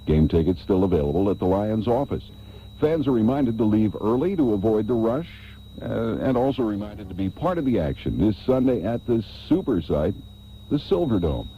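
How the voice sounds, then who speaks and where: A man's voice: on the low side, big pitch swings, moderate pace. A man reading a promotional announcement as a radio announcer. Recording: dull old radio tape, faint hum.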